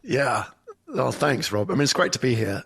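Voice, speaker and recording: A man's voice: medium pitch, lively intonation, normal speed, creaky, a bit bright, husky. A man with an English accent, a podcast guest speaking remotely in a thoughtful, storytelling way. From England. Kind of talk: conversational interview. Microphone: remote call from afar.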